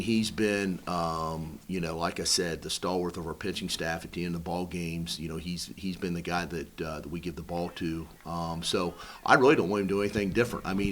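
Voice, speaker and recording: A middle-aged man, a head baseball coach, speaking in an interview with a halting delivery. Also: low-pitched, slight background noise. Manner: halting, many fillers and restarts.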